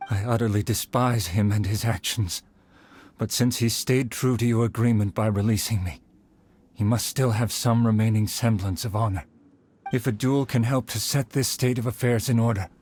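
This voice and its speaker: Stern dignified samurai. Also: male voice, lowish voice, a little mellow, slightly rough. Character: dignified wronged young samurai, proud. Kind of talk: video game voice acting.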